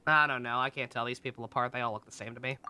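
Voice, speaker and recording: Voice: mid-range voice, steady tone, racing, bright, forceful tone, slight background noise. A video game streamer giving casual, joking gameplay commentary. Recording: game music behind the voice. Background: video game music.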